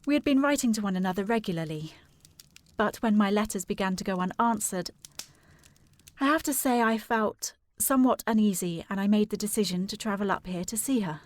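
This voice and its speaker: An actress playing a formal, earnest and insistent gentlewoman, anxious about family, in a period audio drama. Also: medium pitch, a little fast, dry recording, natural voice. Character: proper, anxious, insistent gentlewoman seeking her sister.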